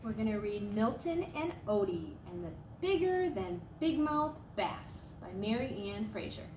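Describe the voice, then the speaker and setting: A woman reading a children's storybook aloud as a storyteller, with expressive, dramatic emphasis. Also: medium pitch, a little slow. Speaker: staff storyteller. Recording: roomy echo, clear background noise.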